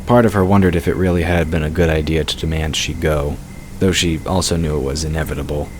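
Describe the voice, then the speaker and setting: A man's voice: very deep voice, fairly even intonation, quick pace, slight background noise. A man reading scripted fantasy narration as the narrator of an audio drama.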